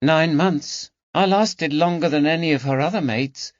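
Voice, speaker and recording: A man's voice: on the high side, measured pace, no room sound, voice pushed up, close to an everyday voice. A man voicing a timid young lady's maid. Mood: anxious. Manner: hesitant, plain-spoken working-class speech. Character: timid nineteen-year-old dismissed lady's maid. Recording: dull, dry audiobook recording.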